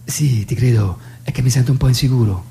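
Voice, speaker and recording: A man's voice: medium pitch, brisk, warm tone, lightly acted. An actor playing an insecure, pedantic young man in a theatrical phone dialogue, hesitant and prone to repeating himself. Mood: insecure, subdued. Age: young adult. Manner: hesitant, repetitive, nitpicking. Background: acoustic guitar accompaniment.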